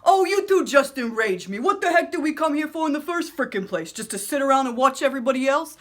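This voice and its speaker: A woman voicing an enraged, foul-mouthed inner voice that rants loudly. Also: sits high, expressive, fast talker, voice pushed up. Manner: ranting, shouting.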